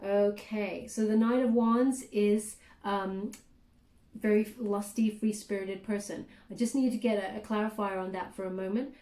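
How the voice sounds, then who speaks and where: A woman's voice: mid-range voice, fairly even intonation, normal speed, warm tone, reverberant. A woman giving a casual tarot reading, chatty and full of fillers, often checking in with "okay?". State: clearing throat, voice catching. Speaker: tarot reader.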